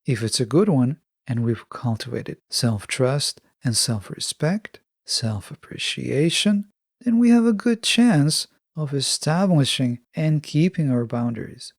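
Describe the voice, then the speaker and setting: A man with a fairly high voice and a creaky edge, recorded close and dry. He delivers a scripted self-help podcast monologue in a measured, instructive way. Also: expressive, dry recording. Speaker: podcast host.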